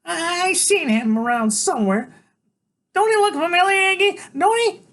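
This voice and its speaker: A storybook biker's girlfriend, played by a man, very high-pitched and loud. The woman squints, nags and frets, drawling rough slang out of the side of the mouth. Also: lively intonation, normal speed, full character voice. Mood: suspicious, curious.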